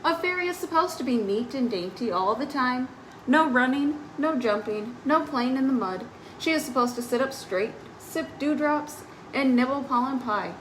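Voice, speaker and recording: A woman's voice: high voice, expressive, moderate pace, a little hiss or hum, rough recording. A woman voicing a spirited, grumbling tomboy fairy from a storybook, telling her tale expressively. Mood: mock prim. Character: spirited tomboy fairy, hundred years old, grumbling about dainty rules.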